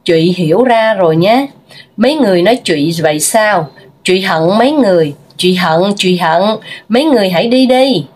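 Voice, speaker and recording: A woman's voice: medium pitch, expressive, moderate pace, darkish tone, slight background noise, natural voice. A woman reading aloud, voicing a stubborn, melodramatic female office boss in an audiobook. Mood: wounded.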